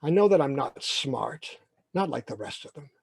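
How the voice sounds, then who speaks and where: A man's voice: mid-range voice, lively intonation, creaky, darkish tone, husky, no room sound, studio quality. Man acting anxious, lonely twelve-year-old boy. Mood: anxious, wistful. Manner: halting, repetitive inner monologue. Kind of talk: first-person present-tense storytelling.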